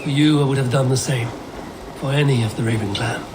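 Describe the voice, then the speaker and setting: An actor playing a loyal, protective Viking warrior in a video game cutscene, speaking in a measured, solemn way. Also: male voice, medium pitch, background noise, lightly acted. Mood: grave, resolute.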